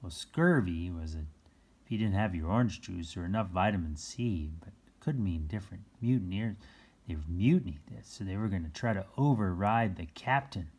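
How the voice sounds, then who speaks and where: A children's read-aloud host with a husky voice, reading a picture book and adding playful exclamations and explanations for young listeners. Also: deep voice, expressive, normal speed, darkish tone. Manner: exclaims, gasps, chuckles and explains words to children.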